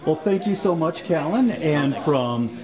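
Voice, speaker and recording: A man hosting a casual interview, relaxed and joking, asking brief questions. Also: on the high side, a little slow, poor audio. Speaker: interviewer, show host. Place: busy convention hotel. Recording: loud crowd noise. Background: loud crowd chatter.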